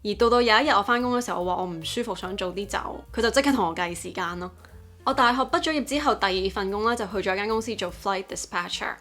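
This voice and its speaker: A woman's voice: mid-range voice, fast talker, a bit bright. Female vlogger, young adult, Hong Kong accent, presenter-style narration with faint music underneath. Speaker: host, English teaching YouTuber. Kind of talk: vlog narration mixing Cantonese and English.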